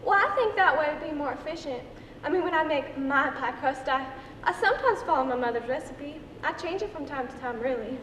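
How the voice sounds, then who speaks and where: A woman playing a mild, chatty older small-town woman, folksy and gentle, in an amateur stage reading. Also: sits high, lively intonation, fairly quick. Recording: echoey hall, faint noise.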